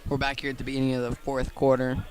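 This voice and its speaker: A man with an American accent giving live radio play-by-play of a football game, halting at times and correcting himself. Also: mid-range voice, monotone. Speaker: play-by-play commentator. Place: outdoors at a football field.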